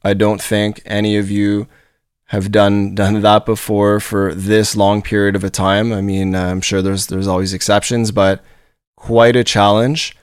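A man's voice: fairly low pitch, flat delivery, measured pace, smooth, no echo, clean studio sound. Nasal-voiced man hosting a solo podcast. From Montreal, Canada. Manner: upbeat, rambling, repeats words as he restarts. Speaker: podcast host and company leader. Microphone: studio podcast microphone.

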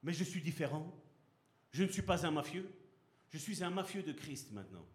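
A man preaches with fervor, his voice sitting high for a man and carrying through a roomy, echoing hall. He pastors a congregation and keeps pressing them with rhetorical questions. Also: sing-song, normal speed. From Belgium. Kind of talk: sermon. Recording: echoey hall.

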